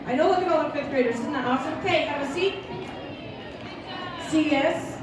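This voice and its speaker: A woman's voice: high voice, a little fast, crowd noise, noisy background. A woman on staff leading a school assembly, upbeat and cheering the students on. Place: large school gym full of seated students. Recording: echoey gym from far off.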